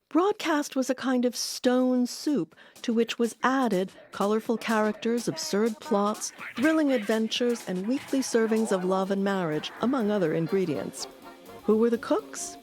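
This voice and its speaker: A woman hosting and narrating a documentary about radio history, with a measured storytelling delivery. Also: on the high side, darkish tone.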